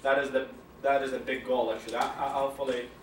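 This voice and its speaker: A young man from Iraq, Arabic native, with an Iraqi Arabic accent, answering audience questions at a talk in a halting way, repeating words and laughing often. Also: mid-range voice, flat delivery, heavy background noise. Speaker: guest speaker. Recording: echoey room from far off, faint ambience.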